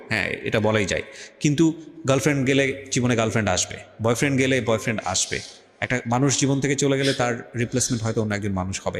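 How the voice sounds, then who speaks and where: A man hosting a life-story show, speaking in a measured, advising way at an ordinary pitch. His voice rings with a long reverb, as if in a large hall. He slips English phrases into his talk. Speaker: radio show host. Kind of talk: interview and reflective commentary.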